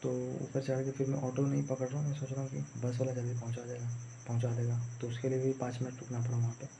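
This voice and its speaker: A man's voice: mid-range voice, monotone, fast talker, creaky, dark tone. A young man telling a story casually, in a rambling, repetitive way. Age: young adult. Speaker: vlogger recounting own exam day. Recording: muffled lo-fi audio, faint background noise.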